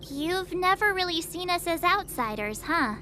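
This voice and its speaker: An actress playing a small, curious, friendly young companion in a video game, chatty and informal. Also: female voice, very high-pitched, expressive, unhurried, sharp tone, full character voice. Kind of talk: scripted video game dialogue. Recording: background music under the voice.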